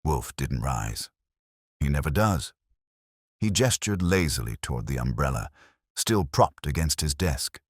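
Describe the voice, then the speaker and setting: A man narrating a detective story in the first person, with a gravelly voice and dry, hard-boiled delivery. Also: very deep voice, lively intonation, a little slow, no room sound. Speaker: audiobook narrator.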